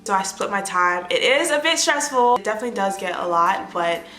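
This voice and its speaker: A young American woman in her twenties chatting casually to the camera as an influencer, answering fan questions with animated bursts of excitement. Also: medium pitch, a little fast, reverberant, soft background music, low-quality recording. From United States. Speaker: lifestyle influencer. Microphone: phone or tablet camera.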